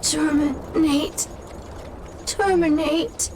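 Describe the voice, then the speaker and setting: A woman's voice: high-pitched, fairly even intonation, extremely slow. A woman voicing a small malfunctioning robot in a video game, mechanically and erratically repeating single words. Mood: malfunctioning, agitated. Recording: loud game music behind.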